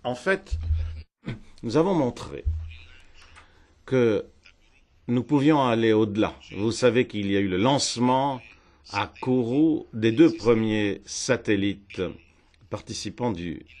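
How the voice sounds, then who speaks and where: Low-voiced male interpreter, halting and repetitive. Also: a little mellow. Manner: halting, repeats phrases while catching up. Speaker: simultaneous interpreter. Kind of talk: interpreting a political speech. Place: parliament hemicycle.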